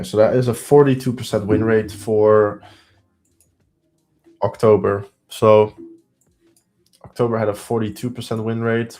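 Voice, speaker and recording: A man's voice: fairly low pitch, darkish tone. A man with a heavy Belgian accent hosting a live trading stream, thinking aloud as he counts through trades and results.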